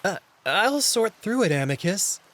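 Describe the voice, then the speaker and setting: A man's voice: high voice, sing-song, unhurried, a bit bright, pitched above the performer's own voice, exaggerated voice. A man voicing a meek, bashful male cat servant who speaks politely, hesitantly and with deference.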